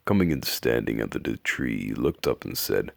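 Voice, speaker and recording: Man putting on a very deep, gravelly old storyteller. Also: animated, normal speed, no room sound, clean studio sound, heavily acted. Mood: solemn, unhurried. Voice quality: raspy. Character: old man narrator telling classic animal fables. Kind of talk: slow storybook narration.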